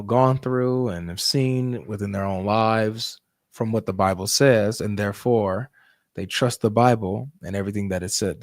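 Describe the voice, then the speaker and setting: Man, low voice, dry close sound, probing debate host. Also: no room sound. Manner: probing, presses with pointed questions. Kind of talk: live debate questioning.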